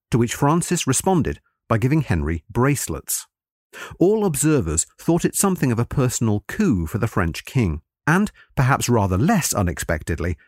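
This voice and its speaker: Man, slightly low pitch, animated intonation, formal scholarly lecturer, scripted history narration, dry sound. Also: dry recording. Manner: formal, measured, scholarly phrasing.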